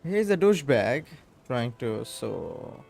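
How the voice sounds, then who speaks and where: A man's voice: medium pitch, big pitch swings, slow, creaky, a little mellow, faint music behind. A man giving casual gameplay commentary, thinking aloud hesitantly as he plays. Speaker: gamer playing and reacting.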